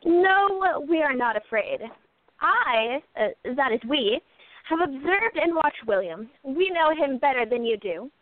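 A woman's voice: high-pitched, very animated, a little slow, dry recording. A woman playing a bold, resolute sleuth who speaks firmly, on a tinny phone-like line. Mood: determined. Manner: firm, determined.

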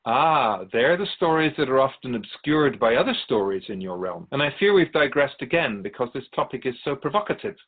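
A man playing an otherworldly keeper of souls in a radio drama, speaking in a measured, formal, philosophical way with patient wisdom. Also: mid-range voice, big pitch swings. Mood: solemn, explanatory. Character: otherworldly male keeper of souls, wise, patient, solemn messenger. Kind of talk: radio drama interview acting. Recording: dull lo-fi audio.

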